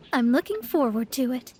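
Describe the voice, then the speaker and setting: A woman's voice: sits high, background noise, heavily acted. A woman playing a proud, theatrical young astrologer in a video game, speaking in pompous, formal phrases. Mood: self-assured.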